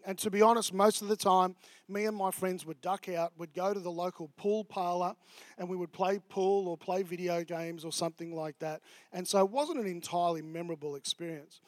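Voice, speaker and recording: Australian male pastor, higher register, chatty filler-heavy preaching, dry close mic. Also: no echo. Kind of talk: sermon.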